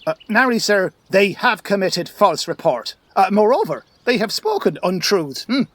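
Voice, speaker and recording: An actor playing a bumbling, self-important constable in a comic Shakespearean radio play, pompous and hesitant. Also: male voice, sits high, sing-song, moderate pace, fairly bright tone, no room sound, full character voice. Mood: officious muddled. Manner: pompous, hesitant, humming between points. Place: home recording.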